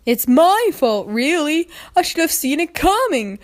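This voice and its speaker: A young boy dragon, played by a woman, speaking high and matter-of-fact. He sounds resigned, a cartoon character in a read-aloud story. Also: sing-song, unhurried.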